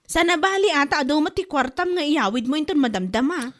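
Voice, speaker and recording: Woman playing a shrill gossipy parlor owner. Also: expressive, quick pace, fairly bright tone. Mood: teasing gossip. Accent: Ilocano. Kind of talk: comedy drama acting.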